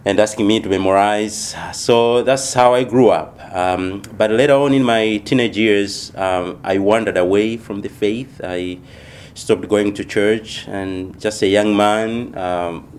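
Soft-spoken deep-voiced man from Malawi. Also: clear, resonant tone. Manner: thoughtful, unhurried, many pauses and fillers. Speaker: missionary church planter, guest. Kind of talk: unscripted interview answers.

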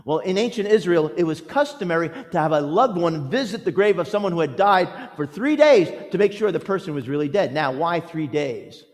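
A man preaching a storytelling sermon with a theatrical, emphatic delivery, using dramatic pauses and moving between loud proclamation and hushed lines. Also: on the high side, animated. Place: large reverberant church or hall. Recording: echoey hall with long reverb.

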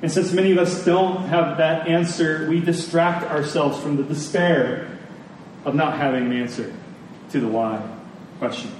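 Male pastor, slightly high voice, earnest conversational sermon delivery with emphatic pauses, in a very echoey room. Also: steady tone. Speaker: pastor preaching. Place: large room, preaching to a congregation. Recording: echoey room, distant mic, faint noise.

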